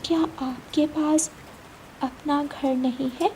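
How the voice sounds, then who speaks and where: A woman voicing a gracious, grateful fairy in a cartoon fable, gentle and solemn. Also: sits high, fairly even intonation, slow, slight background noise, voice pushed up, low-quality recording. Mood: kindly. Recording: loud rain and thunder behind.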